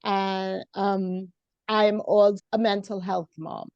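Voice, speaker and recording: A woman from Trinidad and Tobago with a Trinidadian accent, a Bible teacher chatting as a podcast guest over a video call in an unhurried way. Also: mid-range voice, level tone, a little mellow, smooth, dry recording, lo-fi sound. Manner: unhurried, laughs easily. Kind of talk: casual interview chat.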